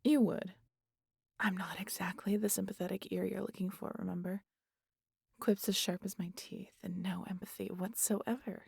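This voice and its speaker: A woman playing a sardonic, guarded vampire in an intimate audio roleplay, with a gravelly, husky voice and a teasing, exasperated manner that slips into breathy whispers. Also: medium pitch, level tone, moderate pace, darkish tone, no room sound, studio quality, exaggerated voice. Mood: exasperated, defensive. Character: sardonic, guarded female vampire hypnotizing an injured hunter.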